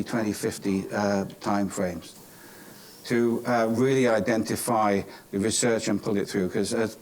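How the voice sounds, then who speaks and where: British male academic presenter, lowish pitch, hesitant semi-formal delivery, slight background noise at a conference. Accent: British English. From Cornwall, England. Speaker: professor giving conference closing remarks. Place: indoor business school conference venue. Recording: clearly noisy conference room recording.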